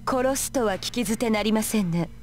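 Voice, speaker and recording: A woman's voice: a little high, fast, a little hiss or hum, natural voice. A woman playing a composed, coolly polite servant warrior in a Japanese visual novel, speaking formally. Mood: cool, defiant. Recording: game dialogue over background music.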